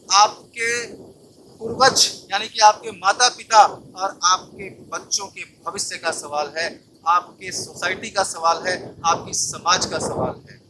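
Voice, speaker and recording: A man's voice: high-pitched, background noise. A man delivering an emphatic, exhorting awareness monologue as an activist, full of rhetorical questions and repetition. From Bihar, India. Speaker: RTI activist, video presenter.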